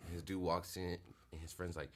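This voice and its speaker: A man's voice: low-pitched, flat delivery, fairly quick, no echo. A man co-hosting a podcast in a casual, joking way. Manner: joking, laughs often. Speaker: podcast co-host.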